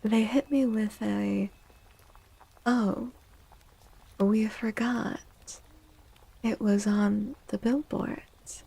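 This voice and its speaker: A soft-spoken woman hosting an ASMR livestream, talking in a hushed voice that often slips into a near-whisper, close to the microphone. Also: fairly high pitch, steady tone, very slow, gravelly, pauses often, breathy edge, rough recording. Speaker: ASMR streamer, tarot reader.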